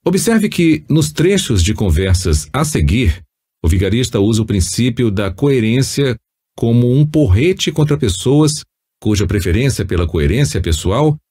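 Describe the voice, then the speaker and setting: A man with a Brazilian accent reading a nonfiction audiobook in a measured, didactic manner. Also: medium pitch, big pitch swings, warm tone, slightly rough. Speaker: audiobook narrator. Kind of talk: scripted audiobook narration of nonfiction.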